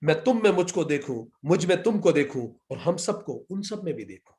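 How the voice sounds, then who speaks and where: A man's voice: a little high, sing-song, normal speed, darkish tone. A man with an Indian accent teaching scripture, building points through repetition and asking and answering his own questions. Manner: emphatic, repetitive rhetorical build-ups. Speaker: spiritual teacher. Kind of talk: scripture lecture.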